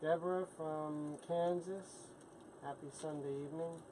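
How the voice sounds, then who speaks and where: A man's voice: a little high, steady tone, measured pace, mellow, low-quality recording. An older man in his sixties acts as the helper on a live chat. He reads viewers' comments aloud and drops in short, plain remarks over some background noise. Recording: faint room noise.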